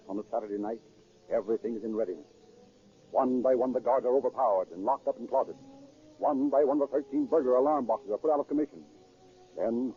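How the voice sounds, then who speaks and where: A man's voice: mid-range voice, lightly acted. A man acting as a theatrical, portentous narrator in a 1930s radio crime drama. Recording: muffled 1930s radio recording.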